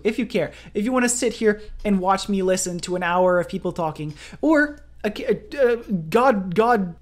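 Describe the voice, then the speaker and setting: Emphatic ranting woman streamer. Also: medium pitch. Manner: emphatic, repeats phrases for emphasis. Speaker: game streamer. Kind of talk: ranting gaming commentary.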